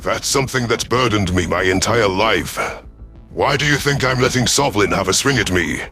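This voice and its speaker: Man voicing a low-pitched, commanding reptilian alien war chief. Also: moderate pace, bright, forceful tone. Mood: grim, burdened. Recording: music under the voice.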